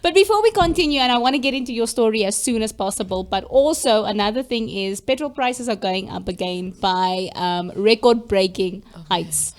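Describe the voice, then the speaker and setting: A young woman from South Africa hosting a casual talk show, excitable and quick to exclaim and laugh. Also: mid-range voice. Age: young adult. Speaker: host. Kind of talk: casual studio chat.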